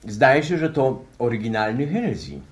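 A man's voice: mid-range voice, sing-song, soft background music, close to an everyday voice. A man in a 1960s radio play acting an easygoing, courteous professor who chats without hurry. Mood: relaxed, appreciative. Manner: courteous, chatty, unhurried. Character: genial, easygoing professor fond of cognac.

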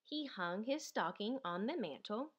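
A woman reading a children's picture book aloud in an expressive, deliberate storytelling manner, drawing out certain words. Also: medium pitch, lo-fi sound. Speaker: storybook reader.

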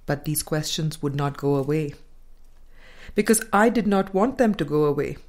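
A woman reading a spiritual book aloud in a measured, deliberate and formal way. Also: low voice, expressive, a little mellow. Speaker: audiobook reader.

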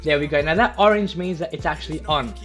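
A man's voice: on the high side, quick pace, fairly bright tone, faint music behind. A young man hosting a casual tutorial in a chatty, eager, repetitive way. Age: young adult. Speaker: YouTube tutorial host.

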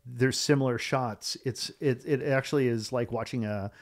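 A man's voice: mid-range voice, moderate pace. An older man talking casually in a podcast studio, rambling and enthusiastic, quoting old conversations as he tells stories. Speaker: filmmaker guest and co-host. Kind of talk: casual chat about movies.

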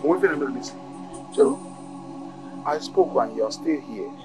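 A man's voice: a little high, expressive, normal speed, natural voice, poor audio. An actor playing a boastful older titled man, bossing people around and coaxing his new wife. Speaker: groom. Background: music.

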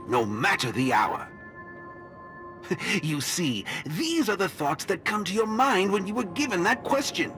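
An actor voicing a cryptic monkey robot guide in a video game, speaking in a courteous, drawn-out, theatrical way. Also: medium pitch.

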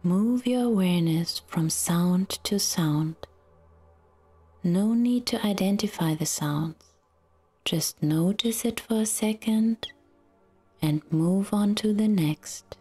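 A woman guiding a relaxation meditation in a soft, soothing, half-whispered voice with long pauses. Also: mid-range voice, slightly rough, soft background music. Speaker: yoga nidra guide.

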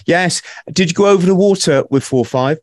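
A middle-aged man hosting a podcast, swapping stories in relaxed, jokey banter and laughing often, recorded on a studio mic at home. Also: on the high side, a little fast, no echo. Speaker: podcast host. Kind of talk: casual interview chat. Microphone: studio mic with headphones.

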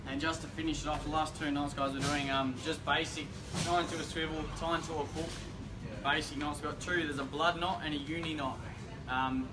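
A man's voice: fairly high pitch, flat delivery, normal speed. A young Australian man giving a casual, informal explanation in a live demonstration at a shop. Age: young adult. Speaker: fishing shop staff presenter. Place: indoor fishing tackle shop. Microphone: distant camera microphone. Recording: distant mic, loud shop background noise.

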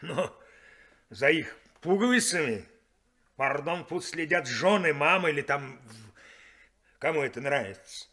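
A sardonic chief engineer. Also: male voice, on the high side, lively intonation, measured pace, long pauses, bright, forceful tone, full character voice. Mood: defiant. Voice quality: sardonic, defiant. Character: defiant male chief engineer, sardonic and dismissive. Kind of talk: 1970s radio play acting.

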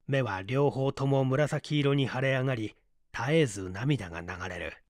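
A man's voice: mid-range voice, lively intonation, unhurried, dry recording, clean studio sound. A man narrating a literary mystery story as a dramatised audiobook reading. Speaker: audiobook reader, small-theatre stage actor.

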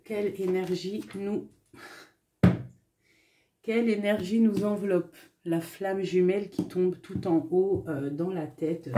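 A woman's voice: on the low side, mellow. A woman giving a casual tarot reading to camera, chatty and full of fillers, with affectionate asides to her viewers. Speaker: tarot reader. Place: indoors at a table with tarot cards.

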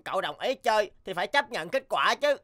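A woman's voice: mid-range voice, sing-song, fairly quick, fairly bright tone. A woman voicing a big, smug, selfish schoolboy in a cartoon, with a cocky, taunting manner. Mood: defiant. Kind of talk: animated cartoon dialogue. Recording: background music under dialogue.

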